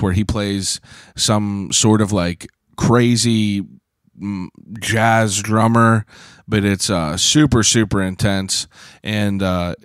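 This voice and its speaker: Man, thirties, hoarse, podcast host, rambling, profane, lowish smooth voice, dry close sound. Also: no echo. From Pittsburgh, USA. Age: 30s. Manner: rambling, profane, self-deprecating; frequent "uh" fillers and throat clearing. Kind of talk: casual solo monologue.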